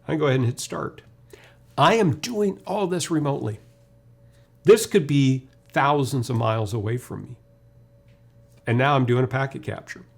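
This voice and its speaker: A man's voice: medium pitch, normal speed, creaky, long pauses. A man gives an informal technical demonstration as a webinar presenter, talking through each step conversationally. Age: 50s. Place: indoor video studio.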